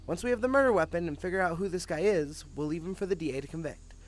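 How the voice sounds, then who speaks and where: A man's voice: sits high, fast, natural voice. A man acting a confident, cynical police detective with a sardonic, matter-of-fact manner in an audio drama. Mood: sardonic, assured.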